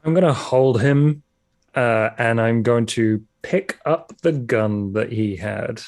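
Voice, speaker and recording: Guy playing a tabletop rpg, slow hesitant talk, mid pitch, dry mic. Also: a little mellow, no room sound. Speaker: player in a tabletop game. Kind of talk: casual game talk.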